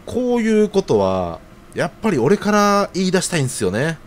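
A man's voice: high-pitched, sing-song, moderate pace. An actor playing an earnest, hesitant young man in an audio drama, speaking in a casual, deferential junior's way. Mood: earnest, worried. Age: young adult.

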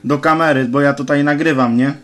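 A man talks casually over a CB set in a fairly high voice. His phrasing is slangy and repetitive. The handheld camera recording has clear background noise and clicks. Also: steady tone, a little fast. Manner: informal, slangy, repeats phrases. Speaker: amateur CB radio operator. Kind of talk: casual radio chat. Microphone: handheld camera near radio set.

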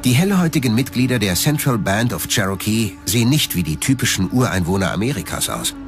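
Male documentary narrator, low and lively. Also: a little fast. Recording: music bed under the narration. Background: background music.

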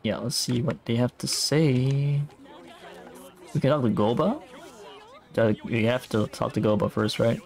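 A man's voice: mid-range voice, slow, gravelly, pauses often, a little mellow, some chatter behind. A man giving casual, laid-back live playthrough commentary as a game streamer, thinking aloud. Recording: faint game music behind. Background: video game music.

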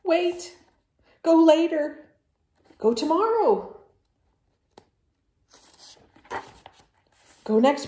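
A woman voicing an anxious, dramatic male elephant from a storybook, pleading loudly with theatrical, drawn-out words. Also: very high-pitched, echoey room, pitched above the performer's own voice, full character voice. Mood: frantic.

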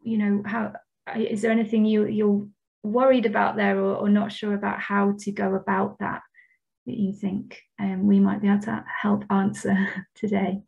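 A woman moderating an online panel, speaking in a measured, gently encouraging way. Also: mid-range voice, completely flat delivery, vocal fry, breathy edge. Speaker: webinar moderator. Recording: dull lo-fi video call audio.

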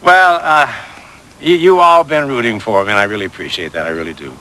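A man's voice: medium pitch, brisk, bright, forceful tone, slight background noise, natural voice, poor audio. An older man playing a playful, rambling grandpa in a sitcom, with jokey mock-pompous talk. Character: playful old grandpa, goofy and romantic. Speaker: grandfather figure, newly engaged. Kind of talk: sitcom acting.

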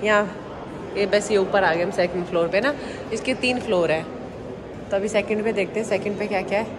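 A young woman with an Indian accent talks casually into a phone held at arm's length, pointing things out to her viewers. Her voice sits at a middle pitch. Steady background noise from the busy museum halls fills the recording. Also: a little fast. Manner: chatty, addresses viewers directly. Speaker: travel vlogger. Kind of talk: casual walking tour vlog. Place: large indoor museum halls. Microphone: handheld phone at arm's length.

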